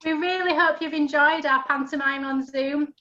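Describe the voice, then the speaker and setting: A woman's voice: sits high, steady tone, moderate pace, noisy background. A woman giving scripted rhyming narration for an amateur pantomime over a video call. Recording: dull lo-fi video call audio.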